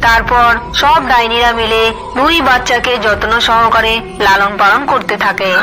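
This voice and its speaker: A woman reads a scripted tale as its narrator. Her voice is fairly high and very bright, with a clear storytelling purpose. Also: low-quality recording.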